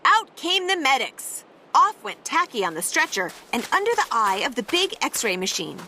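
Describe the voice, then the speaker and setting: A woman reads a children's story in a high voice that swoops up and down dramatically. She leans playfully into key words for emphasis. There is clear noise in the background of the recording. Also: very animated, normal speed, sharp tone, poor audio. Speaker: read-aloud storyteller. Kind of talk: animated children's picture book reading.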